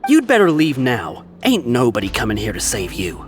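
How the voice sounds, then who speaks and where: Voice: mid-range voice, wildly swooping pitch, normal speed, over music. An actor playing a brusque, dismissive but loyal prison gang member in a video game.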